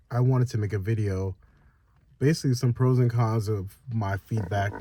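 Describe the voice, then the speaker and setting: A man's voice: lowish voice, darkish tone, no room sound. A man from Northern California chatting casually as a vlogger, rambling with lots of fillers and restarts, sounding nasal from a head cold. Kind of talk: casual direct-to-camera chat.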